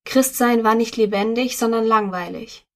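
Female narrator reading print copy aloud, steady and measured, on the higher side, close mic. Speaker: article reader. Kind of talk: scripted narration of a magazine article.

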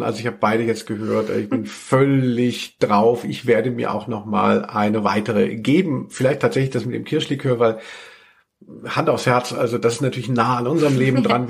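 Man, podcast host, gravelly creak, mid-range pitch, wry colloquial chat. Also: normal speed. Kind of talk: casual humorous chat.

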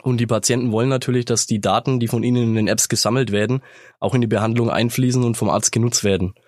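A man with a slight cold, explaining things in a measured way as a guest in a business interview, recorded in a studio. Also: mid-range voice, steady tone, a little mellow, dry recording. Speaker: sales manager, interview guest. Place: company sound studio. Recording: dry studio sound.

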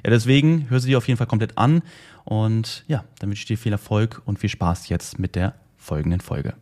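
A man's voice: lowish voice, a little fast, a little mellow. A man giving a short scripted announcement to podcast listeners, addressing them directly.

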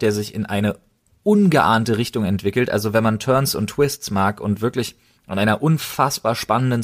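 A man co-hosting a podcast in casual chat, speaking in an emphatic, slangy and enthusiastic way. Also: lowish voice. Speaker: podcast co-host.

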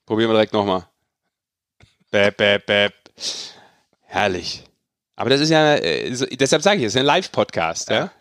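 A man's voice: lowish voice, sing-song, normal speed, pauses often, a bit bright, no room sound, studio quality. A man hosting a sports podcast in an informal, bantering, chatty way. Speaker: podcast host. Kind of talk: casual sports podcast chat.

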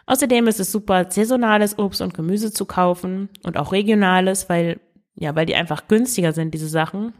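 A woman's voice: medium pitch, fairly quick. A woman hosting a podcast with frugal living tips, talking in a chatty, direct way to her listener while she has a cold. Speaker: podcast host. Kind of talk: casual money-saving tips podcast.

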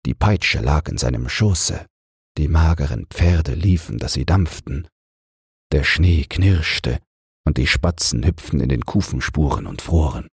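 A man with an extremely low, breathy and husky voice narrates a bedtime fairy tale. His delivery is slow, measured and soothing, recorded dry and close. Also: animated, dry recording. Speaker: audiobook reader.

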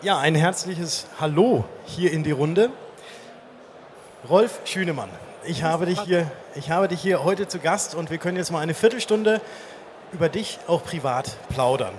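Higher-voiced man hosting a live talk. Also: a little slow, faint music behind. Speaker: interview host. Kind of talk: casual on-stage interview. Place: live stage at a trade fair. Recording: faint background noise, live event.

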